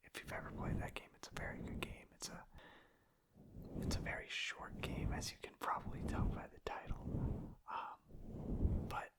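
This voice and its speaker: A man talks entirely in a soft, breathy whisper, as an ASMR creator would. He rambles in a casual, meandering way, feeling his way through each thought with hesitant phrasing. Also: normal speed, long pauses.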